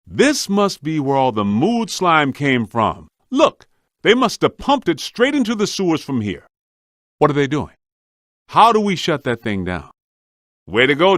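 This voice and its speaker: A man's voice: medium pitch, fairly bright tone, no room sound, studio quality. A man playing a streetwise, wisecracking ghost hunter in a video game, speaking in a colloquial, wry, plain-spoken way. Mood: wry, determined. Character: streetwise, wisecracking male ghost-hunting team member.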